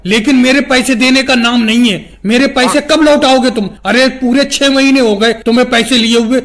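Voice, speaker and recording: Man acting squeaky male cartoon politician, very high pitch, gravelly creak, chatty, bickering, comedy skit. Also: fairly bright tone. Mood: agitated, complaining. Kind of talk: animated comedy skit dialogue.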